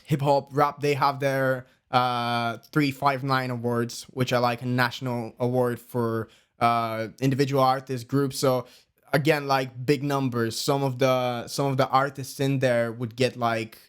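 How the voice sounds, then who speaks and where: A man's voice: mid-range voice, level tone, unhurried, clear, resonant tone. A man in his early twenties from Bulgaria with a Bulgarian accent, talking casually and chattily as a podcast guest, explaining things in a rambling way. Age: early 20s. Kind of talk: casual conversational interview.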